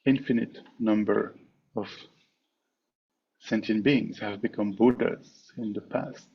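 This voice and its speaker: A man teaching a guided meditation in a measured way, pausing often between short phrases. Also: on the low side, fairly even intonation. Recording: dull, muffled online call with clear background noise.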